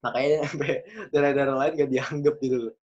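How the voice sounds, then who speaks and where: A man of about twenty, hosting a casual podcast chat, chatty and quick to laugh. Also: fairly high pitch, flat delivery, a little mellow. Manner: chatty, laughs often, loose slangy talk. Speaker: podcast host. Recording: dull lo-fi recording.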